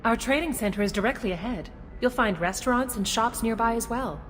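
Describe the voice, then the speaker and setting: A woman's voice: on the high side, natural voice. A woman as a courteous alien concierge, long reverb. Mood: courteous, informative. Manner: polite, formal, measured official courtesy. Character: courteous female alien concierge of a trade city. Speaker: city guide. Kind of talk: scripted video game dialogue. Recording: long reverberant hall, some background noise.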